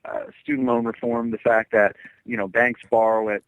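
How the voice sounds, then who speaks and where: A man's voice: medium pitch, huge pitch swings, moderate pace, gravelly, dry recording, narrow, tinny audio. A man in his twenties from New Jersey, answering radio interview questions as a political candidate, hesitant and heavy with fillers. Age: 20s.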